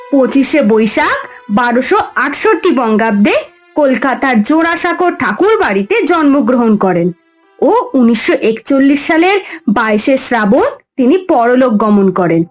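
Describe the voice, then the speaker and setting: Woman playing a high-voiced strict cartoon mother. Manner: scolding, instructive, emphatic. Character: strict but caring mother of a schoolgirl. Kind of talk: cartoon story dialogue.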